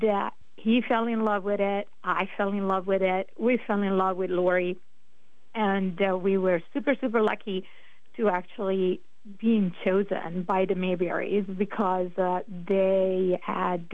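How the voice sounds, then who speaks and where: A woman with an Italian accent speaks slowly as a radio guest, pausing often with "uh" and "um". Her voice comes through dry and boxed-in, narrow like a phone line. She is from northern Italy and chats casually. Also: medium pitch, no room sound. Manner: slow, hesitant, many fillers.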